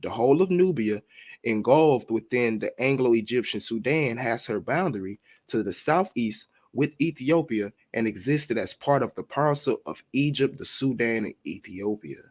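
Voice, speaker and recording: A man's voice: mid-range voice, animated, normal speed, darkish tone. A man with a mid-range voice leads a history lesson for an online audience. He reads passages aloud, then goes back over them in plain words, with frequent fillers and recaps. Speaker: teacher. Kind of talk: reading aloud and explaining history.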